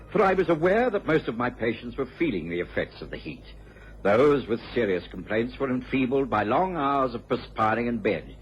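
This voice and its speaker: An actor plays a genial English gentleman doctor recounting his memories for a radio drama. His medium-pitched voice moves expressively up and down. The sound is dull and vintage, with faint music. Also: natural voice. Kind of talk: radio drama narration and dialogue.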